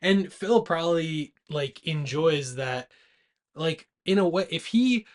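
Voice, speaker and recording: Dude on a movie podcast, voice a bit high, casual n blunt, kinda teasing. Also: male voice, lively intonation, slow. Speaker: podcast co-host. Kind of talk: rowdy casual movie chat.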